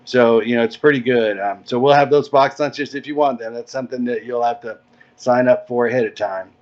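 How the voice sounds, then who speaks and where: A man's voice: medium pitch, steady tone, a little fast, dull audio. A man chatting casually as an interview guest, explaining plans as an event organizer.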